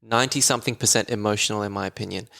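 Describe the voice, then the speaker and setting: Gravelly-voiced man, chatty. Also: on the low side, fairly even intonation, racing, bright, forceful tone. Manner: enthusiastic, chatty, laughs often. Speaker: guest, voice therapist. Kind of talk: casual podcast conversation.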